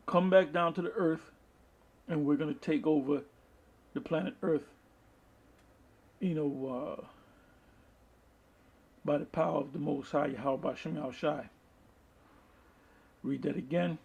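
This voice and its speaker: A man preaching from the Bible, reading verses aloud and explaining them in a halting, deliberate manner, with a husky, gravelly voice. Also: fairly high pitch, fairly even intonation, a little mellow, muffled recording. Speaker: street-style Bible preacher. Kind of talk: Bible teaching with scripture read aloud and commentary.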